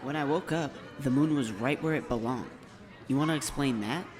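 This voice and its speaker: A man's voice: mid-range voice, moderate pace, a crowd in the background, natural voice. A man playing an earnest, anxious schoolboy hero in an audiobook drama. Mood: intent.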